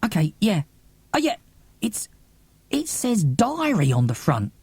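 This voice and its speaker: A man's voice: high voice, wildly swooping pitch, a little slow, gravelly, darkish tone, slightly rough, no room sound, voice pushed up, exaggerated voice. A man voicing an eager, emotional child in an audiobook, speaking urgently and informally. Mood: curious. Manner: urgent, informal, wide-eyed.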